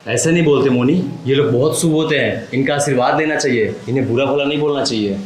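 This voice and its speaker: A polite young man tries to smooth things over gently, as an actor plays him. His voice is mid-pitched. The scene carries roomy echo with faint music behind. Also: fast, natural voice. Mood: placating. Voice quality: gentle, apologetic.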